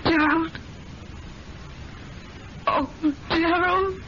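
An actress playing a frightened, melodramatic wife in an old radio mystery drama. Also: female voice, extremely high pitch, fairly even intonation, very slow, pushed and bright, exaggerated voice. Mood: distraught. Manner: tense, pleading, melodramatic. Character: terrified wife holding her husband at gunpoint. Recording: dull noisy vintage radio recording.

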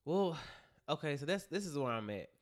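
A man's voice: fairly high pitch, sing-song, fairly quick. A young man hosting a podcast in casual chat, a little stuffy and nasal, joking and slangy. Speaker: podcast host.

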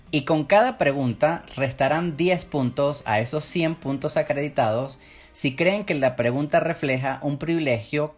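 A man from Venezuela with a Venezuelan accent, hosting his own podcast in a measured solo monologue, slowing down when he poses questions to listeners. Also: on the high side. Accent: Venezuelan Spanish. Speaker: podcast host.

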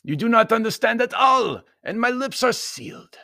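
High-pitched, pompous Baron. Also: male voice, very animated, moderate pace, gravelly, bright, forceful tone, no echo, clean studio sound, heavily acted. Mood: grave, secretive. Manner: stiff, formal, inverted word order. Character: big bearded foreign Baron, stiff, formal, pompous loyalist envoy.

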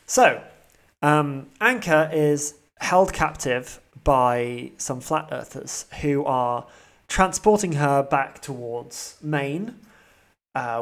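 A man's voice: medium pitch, gravelly. A man hosting a role-playing podcast as game master, narrating the scene and slipping in dry, wry quips.

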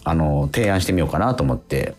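A middle-aged male radio host with a very deep, lively voice. His tone is husky, and he chats casually, breaking off and repeating his affirmations. Music plays under his talk. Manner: halting, self-interrupting, repeats affirmations. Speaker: radio show host and stage actor. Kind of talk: casual radio chat with announcements.

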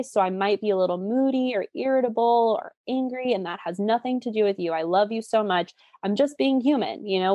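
A woman's voice: mid-range voice, a little mellow, no echo, studio quality. A woman in her late twenties explaining ideas conversationally as an expert guest, informal, candid and enthusiastic. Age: late 20s.

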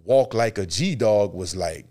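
Animated male interviewer, slangy. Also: on the low side, a little slow. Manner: animated, slangy, frequent affirmations. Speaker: interviewer, host. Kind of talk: casual interview chat.

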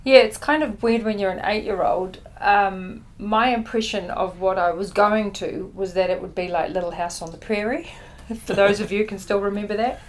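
A woman's voice: medium pitch, fairly even intonation, normal speed. A middle-aged woman with a New Zealand accent, originally from the Netherlands and a native Dutch speaker, giving conversational answers as an interview guest. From born in the Netherlands, grew up in New Zealand. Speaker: podcast guest, company chief executive.

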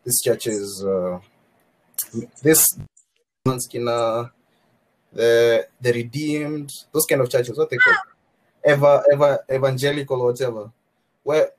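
A man's voice: mid-range voice, a little slow, pauses often, poor audio. A man making an earnest, opinionated argument on a podcast.

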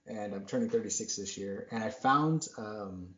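A man's voice: lowish voice, normal speed, a bit bright. A man in his thirties hosting a podcast, talking in a rambling, self-deprecating way full of fillers. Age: 30s. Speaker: podcast host. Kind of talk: casual chat. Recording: roomy, dull lo-fi sound.

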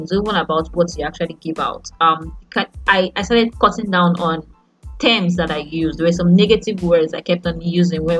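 A young Nigerian woman with a Nigerian accent, a podcast host talking casually and haltingly, full of fillers and restarts. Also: fairly low pitch, fairly bright tone. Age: young adult. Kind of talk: casual chat.

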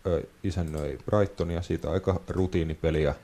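A man's voice: deep voice, steady tone, dark tone. A man hosting a football podcast, talking casually as he previews upcoming matches.

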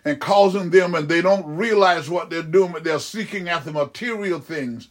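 A man's voice: fairly high pitch, moderate pace. A man preaching in fervent, improvised Pentecostal prayer, pleading repetitively and calling out to God mid-phrase.

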